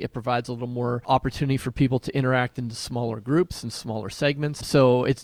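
A man's voice: medium pitch, a little mellow, no room sound. A man co-hosting a podcast in casual conversation, informal and thinking aloud as he rephrases his points. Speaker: podcast co-host.

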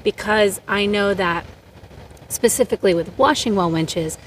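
A woman's voice: mid-range voice, a little slow, recorded from a distance, a little hiss or hum. A woman hosting a casual podcast interview, playful and jokey, laughing often. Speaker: podcast host.

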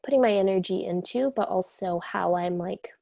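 A woman's voice: medium pitch, normal speed, vocal fry, no echo, studio quality. A young woman speaking casually and reflectively as an interview guest, laid-back with many fillers. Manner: soft-spoken, laid-back, many likes and you-knows.

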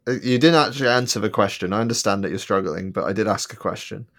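A man with a fairly low voice co-hosts a casual podcast. He rambles along with plenty of ums and false starts. He keeps throwing in jokes. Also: fast, a bit bright. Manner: rambling, many ums and restarts, joking. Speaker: podcast co-host.

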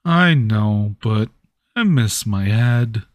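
A man voicing a gentle, naive, sad scarecrow with halting speech in a tabletop game. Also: on the low side, animated, no echo, voice pushed down. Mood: forlorn, puzzled. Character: simple, sad, gentle living scarecrow, missing its head.

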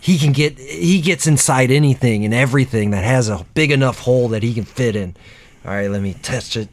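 A man playing a haunted, secretive character who gives halting, grave warnings about demons in a comic horror roleplay. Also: medium pitch, voice pushed down, close to an everyday voice. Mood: grave, evasive.

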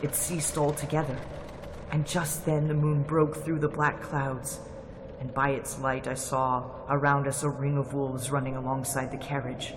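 A man's voice: mid-range voice, little pitch movement, moderate pace, background noise. A man narrating a classic radio drama in a tense, dramatic storytelling manner. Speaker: narrator and lead actor. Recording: loud music under the voice.

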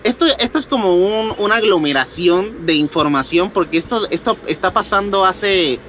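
A man with a high-pitched voice hosts a podcast. He moves between reading aloud and informal chat, often tagging on questions. There is some room noise behind him. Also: moderate pace, fairly bright tone. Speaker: podcast host. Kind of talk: podcast talk, reading reports aloud and chatting.

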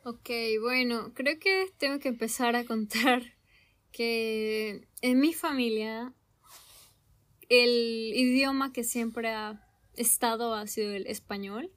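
Slow, thoughtful Mexican woman. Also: on the high side, fairly even intonation, fairly bright tone. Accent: Mexican Spanish. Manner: slow, thoughtful, with many hesitations. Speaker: conversation partner. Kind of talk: casual conversation.

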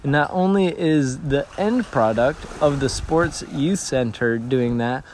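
A man's voice: on the high side, a little mellow, a little hiss or hum. A man who grew up in Tanzania, talking earnestly as a missionary in an interview, telling stories with frequent restarts. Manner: earnest, storytelling, many restarts. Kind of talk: conversational interview.

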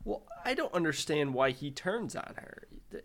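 Male co-host, casual movie-riff podcast, profane and exasperated, lively pitch swings. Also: normal speed. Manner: profane, exasperated, animated ranting. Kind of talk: casual movie review chat.

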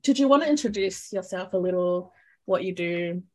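A woman's voice: lowish voice, lively intonation, moderate pace. A woman hosting a podcast, talking in a casual, friendly way and laughing easily with her guest. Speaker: podcast host. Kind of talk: casual interview chat.

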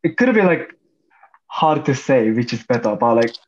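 A man's voice: mid-range voice, sing-song, moderate pace, muffled recording. A young man from Japan, an English speaker, chatting casually with many fillers. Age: young adult. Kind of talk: casual chat.

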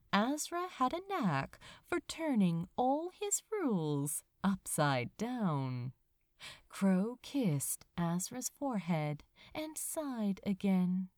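A woman reads fiction aloud at a slow, deliberate pace. Her pitch is middling for a woman and moves widely as she shapes each phrase. The delivery is tender and expressive, with measured pauses. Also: no echo, studio quality. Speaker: audiobook-style fan fiction reader. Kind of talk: expressive scripted story narration.

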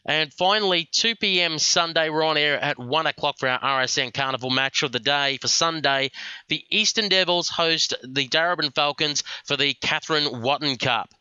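A brisk Australian man presents a radio sports program, his voice bright and forceful and very crisp. The studio sound is dry and a little dull. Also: a little high, dry recording. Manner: brisk and announcer-like. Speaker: radio show host.

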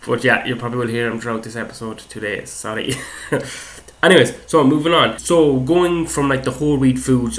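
A young man hosting a fitness podcast in a casual, rambling chat, his voice a little croaky from a cold. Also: medium pitch, a bit bright, slight background noise. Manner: rambling, repeats "again, like that", swears casually.

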